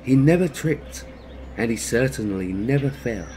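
A man narrating a children's story expressively, in a measured way with dramatic pauses. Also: mid-range voice, expressive, warm tone, slight background noise, rough recording. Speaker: storyteller, channel host.

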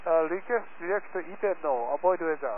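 Higher-voiced male pilot on tinny radio. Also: moderate pace. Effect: cockpit radio transmission. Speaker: airline pilot. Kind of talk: radio readbacks and requests. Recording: tinny, noisy cockpit radio.

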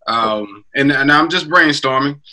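Male producer, casual coaching, sharp bright tone, webcam call. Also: mid-range voice, unhurried, a little hiss or hum, poor audio. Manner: probing questions, brainstorming aloud. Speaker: music producer. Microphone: webcam on a video call.

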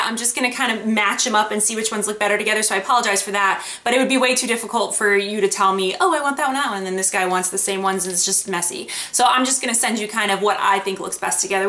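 A woman's voice: fairly high pitch, bright, forceful tone, roomy sound. A young woman vlogger talking casually at her desk about accessories and a giveaway, with plenty of ums. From Florida. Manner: chatty, lots of ums, upbeat. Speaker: beauty vlogger. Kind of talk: casual product haul talk. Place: home room with desk and lamp. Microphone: webcam at desk.

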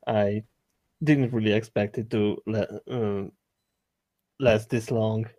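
A man's voice: lowish voice, fairly even intonation, slow pace, warm tone, no room sound. A man with a Hungarian accent chatting casually as a guest artist on a show. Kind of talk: casual chat.

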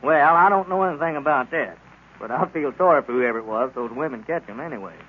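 A man's voice: medium pitch, lively intonation, fast talker, breathy edge. An actor playing an earnest, righteous small-town townsman, talkative and emphatic, in old-time radio drama. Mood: indignant. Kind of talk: 1930s-40s radio drama acting. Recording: muffled, noisy old radio recording.

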